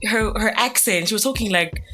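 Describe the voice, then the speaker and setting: Woman, podcast guest, mid pitch, bright voice, blunt and opinionated, faint music behind. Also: brisk. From South Africa. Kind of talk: casual chat.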